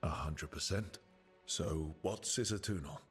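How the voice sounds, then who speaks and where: An actor voicing a steady young male hero in a video game, gentle and casual with a dryly playful streak. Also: a bass voice, measured pace, faint music behind. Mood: teasing. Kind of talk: video game dialogue acting.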